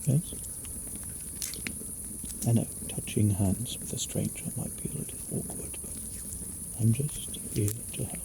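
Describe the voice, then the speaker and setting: A man playing a gentle, caring mage in an ASMR fantasy roleplay, speaking softly and soothingly and often dropping toward a whisper. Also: lowish voice, unhurried, creaky, long pauses, noisy background. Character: gentle, caring male mage healing a weary traveler. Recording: faint nighttime cricket ambience.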